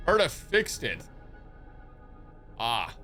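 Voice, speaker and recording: A man's voice: mid-range voice, wildly swooping pitch, drawn-out words, bright, forceful tone. A young man reacting to a game live, chatting casually with his audience in profane, bantering commentary. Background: game music.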